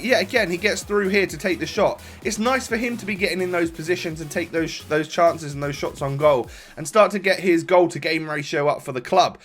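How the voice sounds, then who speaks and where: A young man with a stuffy, congested voice, giving rambling casual commentary over his own football gameplay. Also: high-pitched. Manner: rambling, relaxed, frequent fillers and restarts. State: congested from a cold. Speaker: gaming YouTuber. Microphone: desk microphone.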